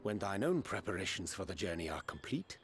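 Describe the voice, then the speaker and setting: An actor playing a solemn, formal man in a fantasy game, speaking stately archaic English in a gravelly voice. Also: low voice, big pitch swings, fairly quick, a bit bright, heavily acted. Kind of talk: archaic scripted game dialogue. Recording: faint music behind the voice.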